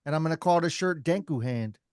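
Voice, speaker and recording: A man's voice: on the high side, animated, brisk, darkish tone, clear, resonant tone, no echo, studio quality. A man, a rapper, talking in a laid-back, loose way on a podcast, with many fillers and repeats. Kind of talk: casual podcast chat.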